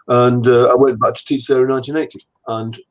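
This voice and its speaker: An older man raised in England chats as an interview guest, his voice sitting in a middle register. He wanders from anecdote to anecdote with plenty of restarts, poking gentle fun at himself. It sounds like a video call. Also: medium pitch, level tone, brisk. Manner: rambling, anecdotal, self-deprecating. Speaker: guest anatomist. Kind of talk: casual conversational interview.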